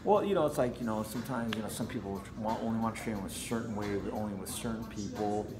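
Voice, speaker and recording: A man's voice: on the low side, fast. A man speaking casually as a martial arts trainer in an interview, explaining conversationally with plenty of "you know". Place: martial arts gym with mats, indoors. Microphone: camera a few feet away. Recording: echoey room, faint music behind.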